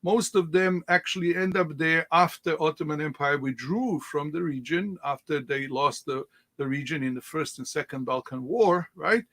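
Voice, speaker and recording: Halting Bosnian-accented man giving a talk. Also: on the high side, a bit bright. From Sandžak, former Yugoslavia. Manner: halting, many fillers and restarts, rhetorical "right?". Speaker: guest speaker. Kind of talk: online presentation with shared slides. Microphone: video call.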